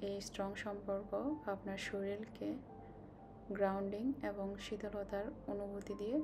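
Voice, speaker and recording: A woman guides a meditation slowly and calmly, giving instructions with long pauses. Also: mid-range voice, music in the background. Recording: clearly noisy, ambient sound behind voice.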